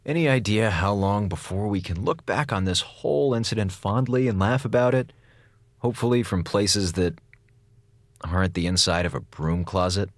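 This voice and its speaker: An actor playing an excitable, sarcastic comms officer on a space station in an audio drama, rambling and melodramatic. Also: male voice, fairly low pitch, normal speed, no room sound, lightly acted. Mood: weary, rueful. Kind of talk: modern audio drama in Golden Age radio style.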